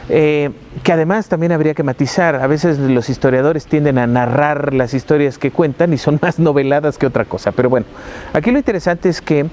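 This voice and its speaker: A man from Mexico City with a Mexican accent, a university professor talking reflectively about his career, pausing often to find his words. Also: on the high side, darkish tone, a little hiss or hum. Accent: Mexican Spanish. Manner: reflective, frequent hesitations and restarts. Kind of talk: interview, recounting his career.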